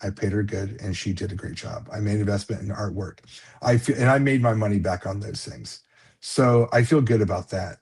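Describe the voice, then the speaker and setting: A low, husky man talks as a guest on a live stream, heard over a remote video call. He rambles easily through his thoughts, piling on fillers and restarting sentences. A slight lisp comes through now and then. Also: brisk, a little mellow. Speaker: author guest. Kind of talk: casual live-stream interview.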